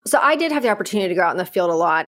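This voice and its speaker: A woman guest in a casual interview, speaking directly and candidly in quick bursts, often saying "like" and "you know". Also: on the low side, sing-song, fairly bright tone. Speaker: guest, operations executive.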